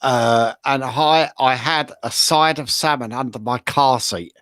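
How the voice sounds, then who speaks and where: A man's voice: medium pitch, unhurried, a bit bright, no room sound, studio quality. A man taking part in a show as a contestant, chatting casually and telling anecdotes.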